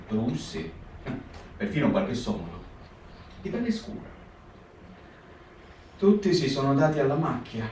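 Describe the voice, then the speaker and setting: A man voicing a partisan calmly recounting the fugitives met in the countryside. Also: mid-range voice, a little hiss or hum, close to an everyday voice. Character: male partisan describing fugitives in hiding. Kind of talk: stage reading for radio. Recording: echoey room, distant mic.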